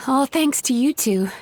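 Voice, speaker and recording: A woman voicing a composed, caring young healer in a video game, speaking in a measured, gentle, serious way. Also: sits high, expressive, over music. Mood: relieved.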